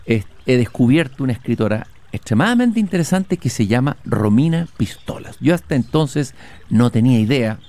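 A man hosting a relaxed interview while walking outdoors in a garden, speaking in a reflective, measured way with hesitations. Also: medium pitch. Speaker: host.

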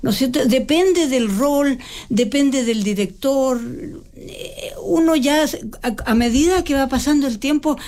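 An older woman, a stage actress, answering interview questions in a reflective, halting way with frequent restarts. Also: high voice, darkish tone. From Mexico. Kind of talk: conversational interview.

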